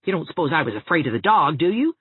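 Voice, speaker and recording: A woman's voice: sits low, very animated, quick pace, slightly rough, dry recording, exaggerated voice. A woman voicing a desperate young man in love in an audiobook, pleading and coaxing earnestly. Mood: contemptuous, pleading. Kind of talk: audiobook character voice. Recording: thin phone-like narrow-band sound.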